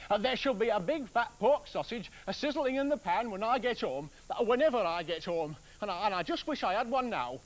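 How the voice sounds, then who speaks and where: A man's voice: sits high, lively intonation, fairly quick, few pauses, voice pushed up. A man voicing a hot-tempered poor woodcutter who blusters and complains. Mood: grumbling.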